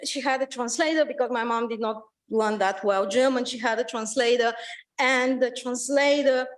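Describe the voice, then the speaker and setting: High-voiced Bulgarian woman lecturing from afar. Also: normal speed. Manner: conversational, many fillers, animated asides. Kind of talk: public lecture. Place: lecture room. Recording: voice from far off the mic.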